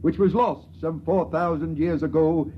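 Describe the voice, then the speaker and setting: A man's voice: on the high side, steady tone, unhurried, slight background noise, natural voice. An actor playing a solemn, dogmatic priest making a proclamation to his people in a 1950s radio drama. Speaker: character in a 1950s radio play. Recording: muffled vintage radio broadcast.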